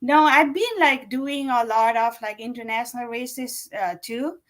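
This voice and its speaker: A woman's voice: on the high side, measured pace, a bit bright. A woman, a guest chatting casually and rambling with many fillers. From Nepal. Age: 40s. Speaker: guest runner. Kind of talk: casual interview chat.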